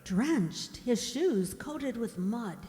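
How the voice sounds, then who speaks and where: A woman acting a timid, gentle young woman's inner voice in a radio drama, fearful and wistful. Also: a little high, animated, extremely slow. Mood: anxious, hushed. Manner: breathless gasps, wistful, fearful. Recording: echoey hall, long reverb.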